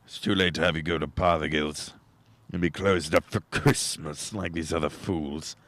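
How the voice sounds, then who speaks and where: A man's voice: very deep voice, steady tone, normal speed, fairly bright tone. A man playing a grumpy, miserly old employer in a radio play, curt and scornful, grumbling and barking orders. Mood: grudging, scornful.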